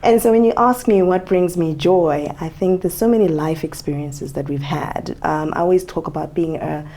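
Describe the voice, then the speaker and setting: A woman from Zambia talking reflectively as an interview guest, expressive, with frequent fillers and ready laughter. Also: lowish voice, normal speed, a little hiss or hum.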